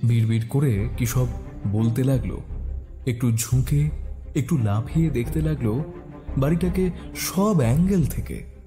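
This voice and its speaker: A man narrates a scripted detective story in the first person. His voice sits at a medium pitch, with a prominent music bed running behind it. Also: measured pace, long pauses.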